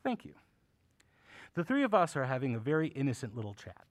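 An actor playing a courtly, whimsical old uncle who runs a curiosity shop in an audio drama, genial and theatrical, with old-fashioned manners. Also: male voice, medium pitch, wildly swooping pitch, a little fast, dry recording.

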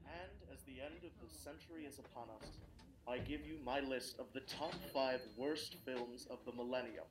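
A man's voice: mid-range voice, moderate pace, close to an everyday voice. A man acting a snobbish, disdainful TV film critic in a radio drama, pompous in delivery. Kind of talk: mock TV review in radio drama. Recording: roomy echo, some background noise.

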